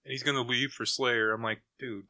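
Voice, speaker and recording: A man's voice: mid-range voice, level tone, fairly quick, pushed and bright, dry recording, studio quality. A man co-hosting a podcast, chatting and chiming in casually. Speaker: podcast co-host. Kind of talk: casual chat.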